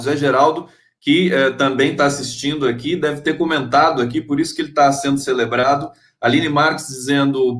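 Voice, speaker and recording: A man's voice: mid-range voice, a bit bright, echoey room. A man hosting a live-stream interview, effusive and full of fillers, reading out viewer comments. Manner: effusive, many fillers and restarts.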